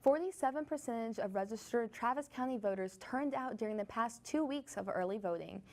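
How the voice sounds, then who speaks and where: A woman anchoring a student news show, reading smooth, upbeat links. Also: fairly high pitch, animated, a little fast.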